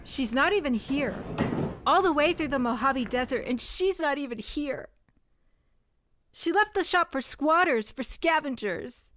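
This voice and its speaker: An actress with a Mexican American accent playing a proud, vengeful young woman, intense and shifting between mutters and shouts. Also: high voice, animated, unhurried. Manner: intense, swinging from muttering to yelling. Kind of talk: audio drama acting.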